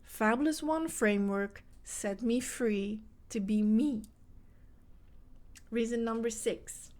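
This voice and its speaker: A woman's voice: a little high, takes it slowly, darkish tone. A woman from the Netherlands with a Dutch accent and Dutch as her first language, speaking English in an earnest motivational podcast monologue that addresses the listener directly as a self-help coach for mothers.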